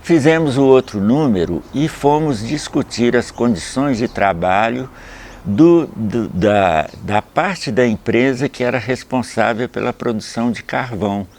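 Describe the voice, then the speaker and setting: An older man, a capoeira master explaining things at a measured pace and often adding a questioning tag at the end of a phrase. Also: fairly low pitch, creaky, a little mellow. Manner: measured, explanatory, with frequent "né?" tags. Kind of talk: reflective documentary interview.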